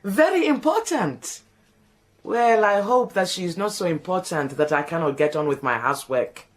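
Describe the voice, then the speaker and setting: A woman's voice: on the low side, lively intonation, moderate pace, natural voice. A woman voicing a proud, indignant housekeeper, theatrical and prone to wailing. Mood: haughty. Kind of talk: radio drama acting.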